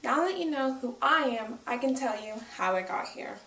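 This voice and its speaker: A woman's voice: sits high, animated, normal speed, creaky, sharp tone. A woman in her twenties with an American accent, giving a prepared autobiographical speech. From United States. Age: 20s. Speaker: speaker, self-published author. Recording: echoey room, distant microphone.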